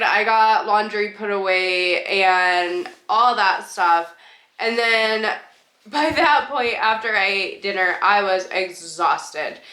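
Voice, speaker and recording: A woman's voice: fairly high pitch, sharp tone. A woman vlogging casually about her reading, rambling a little, and stuffed up with a cold. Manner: chatty, rambling, with laughs and fillers. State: congested, stuffy nose. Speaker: book vlogger. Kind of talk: casual reading vlog chat. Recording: echoey room with faint noise.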